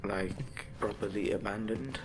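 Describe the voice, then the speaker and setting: An actor playing a nervous, hesitant investigator in an audio drama clip. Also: male voice, lowish voice, unhurried, lightly acted. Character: nervous young male investigator, uneasy.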